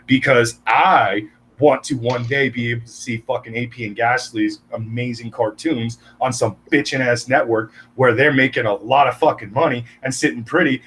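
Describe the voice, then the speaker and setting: A man's voice: medium pitch, a bit bright, husky. A man hosting a live stream, chatting casually and bluntly with heavy swearing and exasperated rants. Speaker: stream host. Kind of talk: casual profane stream chat.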